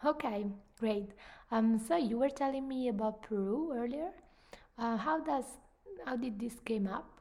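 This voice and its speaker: A woman hosting a podcast who reads a scripted introduction and then asks her guest questions in an earnest, measured way. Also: on the high side, a little mellow. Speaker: podcast host.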